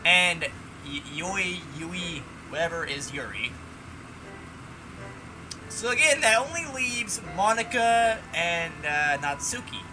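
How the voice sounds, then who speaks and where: A man reading a visual novel aloud and breaking off into animated, sweary commentary. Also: high voice, slow, noisy background. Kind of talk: reading game text and ranting commentary.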